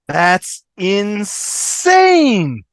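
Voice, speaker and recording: Someone playing blunt irreverent sitcom woman, slow but really animated, dry close sound. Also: mid-range voice, no room sound, full character voice. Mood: exasperated, determined. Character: Eleanor, blunt, irreverent woman rallying her doomed friends. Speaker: amateur table-read actor. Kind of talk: fan table read of TV comedy script. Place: online video call.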